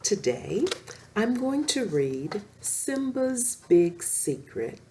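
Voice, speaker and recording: A woman's voice: mid-range voice, sing-song, extremely slow, noisy background. A woman hosting a story time, reading aloud expressively for young children.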